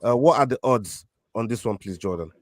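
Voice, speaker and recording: A man's voice: mid-range voice, expressive, brisk, no echo, clean studio sound. A man hosting a casual fight prediction show, leading the picks with many fillers and restarts. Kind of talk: casual betting picks chat.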